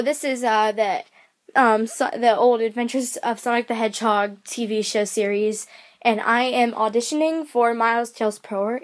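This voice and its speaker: Hesitant young woman, high voice. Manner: hesitant, self-deprecating. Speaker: amateur voice actor introducing an audition. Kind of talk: casual, unscripted introduction.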